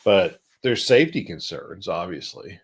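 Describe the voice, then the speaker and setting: A man's voice: sits low, big pitch swings, a little slow, breathy edge. A man co-hosting a tech panel show, talking through technical points casually in a rambling, hedging way.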